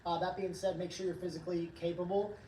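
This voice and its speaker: A hoarse man hosting a casual livestream, upbeat and rambling as he reads chat comments aloud. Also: high voice, flat delivery, quick pace, mellow, a little hiss or hum. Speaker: livestream host, training company staff. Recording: roomy, distant from the mic.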